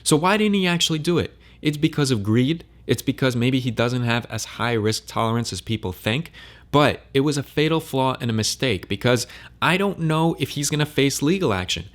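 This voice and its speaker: A man's voice: medium pitch, lively intonation, moderate pace. A man giving blunt, emphatic opinion commentary on a crypto controversy.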